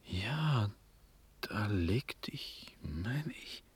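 An actor playing a dazed, dreamy young Prussian prince in a verse radio drama, fervent and halting, with breathy speech drifting into whispers. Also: male voice, on the low side, sing-song, noisy background, exaggerated voice. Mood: dazed, groggy. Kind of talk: classical German stage verse radio drama.

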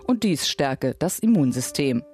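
A man reading scripted documentary voice-over narration in a measured, even manner. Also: mid-range voice, faint music behind.

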